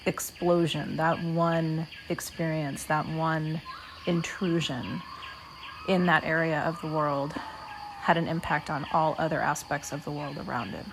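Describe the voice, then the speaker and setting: A woman with a fairly low voice speaks as a meditation guide. She is measured and deliberate, placing short phrases between pauses. Clear nature noise, with birds and crickets, runs behind her. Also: steady tone. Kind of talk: guided meditation talk, spoken reflectively.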